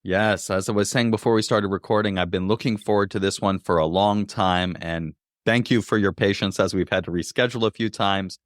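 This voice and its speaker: A man's voice: on the low side, fairly even intonation, brisk, dry recording, studio quality. A man hosting a podcast, reading an earnest scripted intro and then interviewing a guest. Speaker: podcast host.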